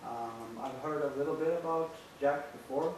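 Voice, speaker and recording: A man's voice: a little high, moderate pace. A man introducing himself at a workshop, hesitant. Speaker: workshop participant. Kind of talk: self-introduction. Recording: echoey room from far off, noisy.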